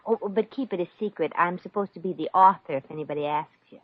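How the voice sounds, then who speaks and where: A woman's voice: on the low side, fast talker, no room sound, lightly acted. An actress playing a brassy, good-hearted, wisecracking young woman in 1950s radio comedy style, breezy and slangy. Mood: breezy, helpful. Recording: dull old-time radio recording.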